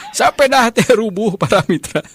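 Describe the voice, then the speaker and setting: A man with a Sundanese accent telling a comic folk tale in a lively, chuckling way, speaking straight to his listeners. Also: high-pitched, moderate pace. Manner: chuckles often while narrating, addresses listeners. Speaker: storyteller. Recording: noisy, hissy recording.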